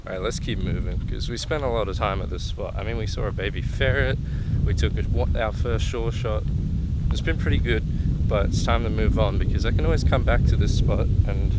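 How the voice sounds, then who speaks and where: A man's voice: on the low side, normal speed, very noisy. A young Australian man vlogging casually outdoors on a photo walk, chatty and thinking aloud as he shoots. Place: outdoors in a park by water. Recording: loud background music.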